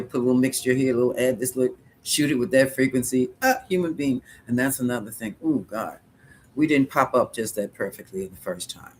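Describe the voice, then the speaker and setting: A man's voice: mid-range voice, a little hiss or hum. Rambling, chuckling man hosting a live chat. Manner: rambling, musing aloud, chuckling often. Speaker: psychic livestream host. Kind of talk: live chat, answering viewer questions.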